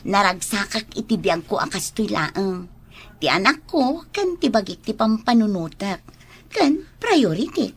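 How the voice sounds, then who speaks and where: A woman's voice: mid-range voice, big pitch swings, measured pace, husky, full character voice. A woman acting as a defensive, self-reliant single mother in an audio drama, with an Ilocano accent.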